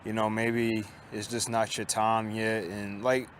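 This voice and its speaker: A man in his late twenties talking in a casual confessional monologue, halting and repetitive, restarting often and swearing, with a resigned tone. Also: fairly low pitch, little pitch movement, a little hiss or hum. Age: late 20s. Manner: resigned, downcast tone. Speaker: vlogger. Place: outdoors on a college campus.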